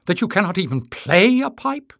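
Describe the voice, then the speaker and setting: A man voicing a proud, bitter mother in an audiobook, high-pitched, accusing and imperious. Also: big pitch swings, extremely slow, vocal fry, pushed and bright, no echo, studio quality, full character voice. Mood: indignant. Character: Tyrrell, proud bitter mother, piercing and indignant. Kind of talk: acted audiobook dialogue.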